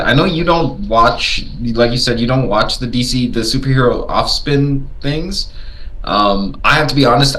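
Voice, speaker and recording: A lower-pitched man from Tanzania talks through a movie in a casual chat, with a bright, forward tone. He stumbles and repeats words, then jumps up in pitch when he gets excited. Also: poor audio. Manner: hesitant, repeats words, lively rises in pitch. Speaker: podcast co-host.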